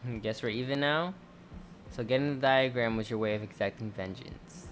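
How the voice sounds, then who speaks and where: A gamer giving casual gameplay commentary in halting, muttered self-talk. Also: mid-range voice, a little hiss or hum. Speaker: gaming video creator. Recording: game music behind.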